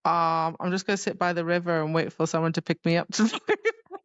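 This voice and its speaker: Chatty low-voiced woman, slightly muffled. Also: steady tone, quick pace, clear, resonant tone, no room sound. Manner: chatty, informal, quotes characters loosely. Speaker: podcast co-host. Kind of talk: casual book discussion.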